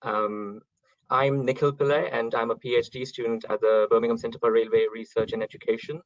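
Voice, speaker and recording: A man hosting an online event, polite and organised, pausing often with small hesitations. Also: medium pitch, level tone, no room sound. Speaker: event host. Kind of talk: webinar hosting. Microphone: video call. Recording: dull, dry online call audio.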